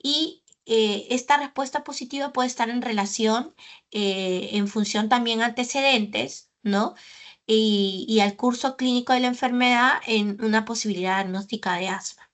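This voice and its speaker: A woman pulmonologist giving a medical lecture, explaining carefully and often pausing on filler sounds and tag questions. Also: on the high side, fairly bright tone. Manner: didactic, with frequent "¿no?" tags and "eh" fillers.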